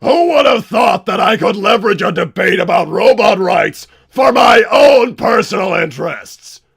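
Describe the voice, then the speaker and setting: A man voicing a hammy, short-tempered mad-scientist villain on a comedic livestream, blustering and theatrical with a gravelly rasp. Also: high voice, expressive, normal speed, faint music behind, full character voice. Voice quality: gravelly, raspy shouting.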